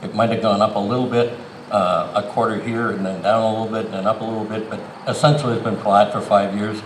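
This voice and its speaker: A middle-aged man, speaking plainly and haltingly as a candidate at an outdoor forum. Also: lowish voice, little pitch movement. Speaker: city council candidate and incumbent. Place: outdoors in a town park. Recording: echoey outdoor PA with clear background noise.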